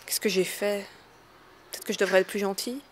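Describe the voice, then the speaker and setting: A woman's voice: medium pitch, steady tone, fairly quick, slight background noise, close to an everyday voice. A young woman playing a sulky girl on a date, muttering sarcastic asides about him. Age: young adult.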